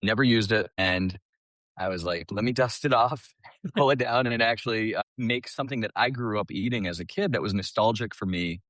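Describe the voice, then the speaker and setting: A man's voice: lowish voice, brisk, fairly bright tone, no echo. A man from the US East Coast telling his story casually as a podcast guest, chatty and colloquial. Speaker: podcast guest, small business owner.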